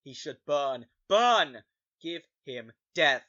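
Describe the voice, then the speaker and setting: A man's voice: fairly high pitch, expressive, takes it slowly, dull audio, very noisy. A man playing a hostile, cocky murder suspect on a witness stand in a courtroom audio drama, sneering and coming unhinged. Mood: panicked rage. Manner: sneering, stammering under pressure, shouting.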